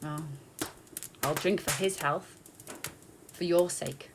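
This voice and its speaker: A woman's voice: low voice, background noise. A woman playing a busy, spirited mother who runs the holiday meal and speaks tartly of a miser. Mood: indignant. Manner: brisk, spirited, tart. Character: busy, spirited mother, sharp-tongued but loving.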